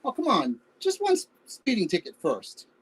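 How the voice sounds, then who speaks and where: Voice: mid-range voice, wildly swooping pitch, normal speed, husky. An actor playing a wry, teasing older spouse reminiscing fondly in a staged play reading. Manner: wry, bantering, conversational.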